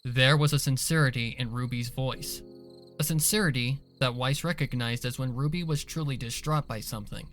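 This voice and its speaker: A man's voice: medium pitch, moderate pace, fairly bright tone, faint music behind. A man reading scripted dramatic story narration as the narrator of an audio drama.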